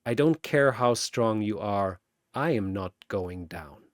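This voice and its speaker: A man's voice: fairly low pitch, animated, takes it slowly, no room sound, clean studio sound, voice pushed down. A man playing a stubborn young sword fighter with an intense, determined manner in a battle story. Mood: defiant.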